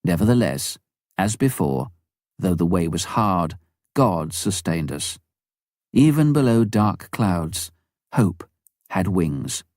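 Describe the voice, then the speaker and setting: A man narrating a memoir from a script, reading in a measured, deliberate way with pauses. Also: deep voice, big pitch swings, darkish tone, no room sound, studio quality. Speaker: audiobook narrator.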